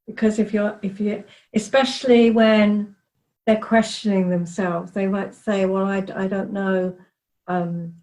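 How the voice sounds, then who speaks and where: A woman's voice: medium pitch, little pitch movement, unhurried, a little mellow, low-quality recording. A woman hosting a podcast interview, chatty and encouraging as she introduces and talks with her guest. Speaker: podcast host.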